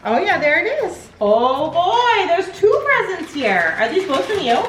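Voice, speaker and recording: A woman's voice: very high-pitched, big pitch swings, measured pace, a bit bright, echoey room, slight background noise, rough recording. A woman, chatting in a sing-song way with young children. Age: 30s. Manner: sing-song, talking to little kids and dog. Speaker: mom in a family vlog. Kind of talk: casual chat with young children.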